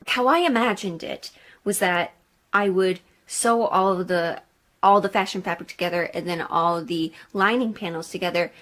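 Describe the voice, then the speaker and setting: Woman rambling candidly through a sewing vlog. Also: medium pitch. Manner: rambling, candid thinking aloud. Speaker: sewing vlogger. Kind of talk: casual sewing vlog chat.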